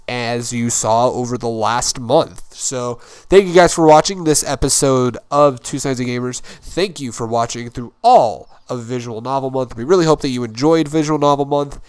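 A man's voice: mid-range voice, clear, resonant tone. Playful young man hosting a gaming show. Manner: playful, teasing. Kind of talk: casual joking let's-play commentary.

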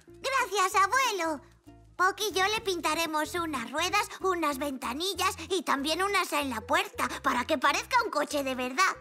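A little cartoon zebra boy with a very high, bright voice. He is curious and imaginative, with an eager, playful childlike manner. Music plays behind him. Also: lively intonation, moderate pace, exaggerated voice. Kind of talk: cartoon dub acting.

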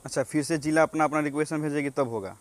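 A man's voice: a little high, fairly even intonation, fast talker, dry recording. A young man with a Bihari accent making a deferential phone inquiry, polite, insistent and repeatedly addressing the listener as sir. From Bihar, India. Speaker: job candidate calling an official.

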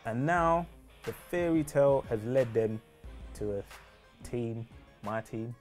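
London guy in his 30s chatting footy, medium pitch, bit of music in the background. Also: lively intonation, slow. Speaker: co-presenter of a football show. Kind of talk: casual sports chat. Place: small indoor studio set. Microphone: lapel mic.

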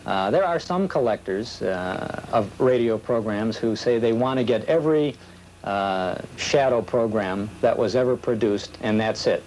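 A man in his thirties chatting casually in an interview, halting and a little self-deprecating. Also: lowish voice, darkish tone. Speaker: old radio program collector being interviewed. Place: small office, indoors. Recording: slightly noisy old videotape.

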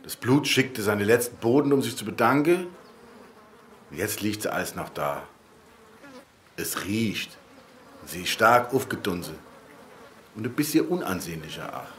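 A low-pitched, easygoing mailbox rambles in a rural German dialect. The radio play delivery is folksy and resigned, with faint insect buzz behind it. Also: male voice, very animated, unhurried, pauses often, a bit bright, a little hiss or hum. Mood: mournful, matter-of-fact.

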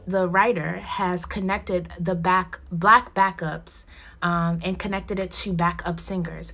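A woman's voice: lowish voice, fairly bright tone. A young woman from Ghana, a poetry workshop teacher, speaks to students in a recorded online lesson, talking expressively and casually straight to them into a phone camera held at arm's length in a small room at home.

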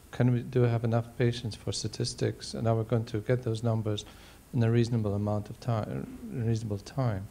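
A man in his sixties speaks on a panel in a large auditorium. His voice is mellow and of middling pitch. He builds his points deliberately, with halting phrases. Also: gravelly. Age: 60s. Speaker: panel member, ocular oncologist. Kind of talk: measured panel discussion answer. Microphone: handheld microphone.

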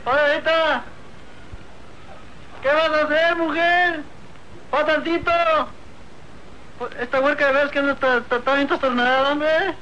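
A man playing an excitable, wily, timid rancher sidekick in a radio serial, with folksy northern Mexican talk. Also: extremely high pitch, normal speed, pauses often. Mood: flustered. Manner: folksy, drawling rustic talk. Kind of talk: old radio serial acting. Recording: dull, noisy old radio recording.

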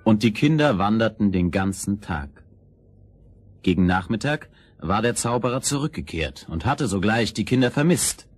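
A man's voice: fairly low pitch, expressive, moderate pace, a little hiss or hum. A man narrating a scripted fairy tale for a children's audio play.

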